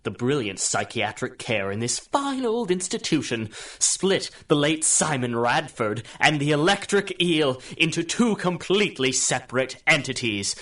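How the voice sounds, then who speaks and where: A man's voice: on the high side, big pitch swings, measured pace, few pauses, fairly bright tone, exaggerated voice. A man playing a grandiose, scheming supervillain in a radio drama, with a florid and ingratiating manner. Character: grandiose, scheming male supervillain with electrical powers. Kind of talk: radio drama acting in 1930s pulp serial manner.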